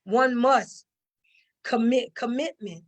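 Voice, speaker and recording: Middle-aged woman, higher-pitched voice, conversational, emphatic storyteller who repeats for stress. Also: slow. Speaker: stage play cast member. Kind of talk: conversational interview answers.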